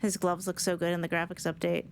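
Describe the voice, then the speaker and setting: A woman's voice: lowish voice, little pitch movement, quick pace. A woman giving casual live commentary on a video game, emotive and informal, rambling and sweary. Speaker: streamer reacting to game cutscenes.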